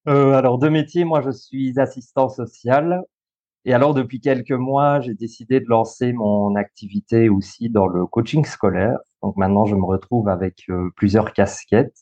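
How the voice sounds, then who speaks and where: A man's voice: mid-range voice, moderate pace, mellow. Belgian guy, 30, chatty explainer, lots of euh, kinda dull lo-fi sound. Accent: Belgian French. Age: 30s. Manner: explanatory, many fillers and restarts, easy laughs. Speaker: guest, school coach and social worker. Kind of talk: podcast interview.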